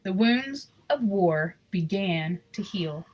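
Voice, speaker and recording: A woman reads a children's picture book aloud at a medium pitch. She tells it expressively and without hurry, with a sentimental streak, and slips into casual asides to her listeners. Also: lively intonation, takes it slowly, darkish tone, low-quality recording. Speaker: storybook reader.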